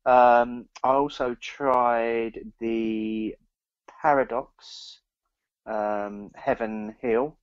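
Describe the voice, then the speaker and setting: A man's voice: medium pitch, fairly even intonation, drawn-out words, smooth, no room sound, dull audio. A British man co-hosting a casual beer podcast, often repeating words as he gets his sentences going. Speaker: podcast co-host.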